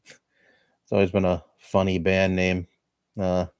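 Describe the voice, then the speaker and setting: A man in his twenties chatting casually on a livestream at home, rambling and laughing softly between thoughts. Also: low voice, monotone, takes it slowly, clean tone, no echo, clean studio sound. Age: 20s. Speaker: livestreamer reading chat. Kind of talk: casual chat.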